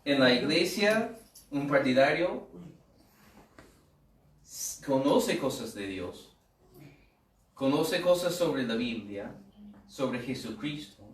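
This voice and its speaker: A man's voice: mid-range voice, animated, slow pace, pauses often, recorded from a distance, slight background noise. American man preaching in an echoey hall. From United States. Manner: humorous, conversational, with asides and rhetorical questions. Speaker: pastor. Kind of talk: sermon.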